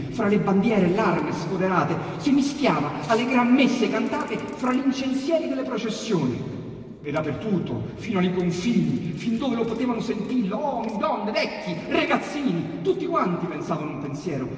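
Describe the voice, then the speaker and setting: Attore romano teatrale, voce molto animata. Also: male voice. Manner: dramatic, swinging from loud outbursts to hushed low asides. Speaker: reciter of dialect sonnets. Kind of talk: theatrical poetry recitation. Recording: echoey hall, distant mic, faint hiss.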